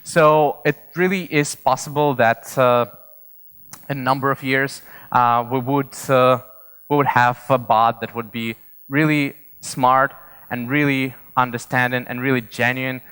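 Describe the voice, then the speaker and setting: A man speaks as a conference presenter in a big reverberant hall. His voice is clear and smooth at a middling pitch. He explains things informally, often hesitating and repeating words as he goes. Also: steady tone, unhurried, fairly bright tone. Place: large indoor conference hall.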